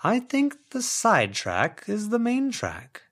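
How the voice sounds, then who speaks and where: A man's voice: high-pitched, wildly swooping pitch, slow pace, a bit bright, pitched above the performer's own voice, exaggerated voice. Male reader as melodramatic young dreamer, intense and poetic, voice swooping upward, storybook character. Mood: whimsical, languid. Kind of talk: audiobook character voice.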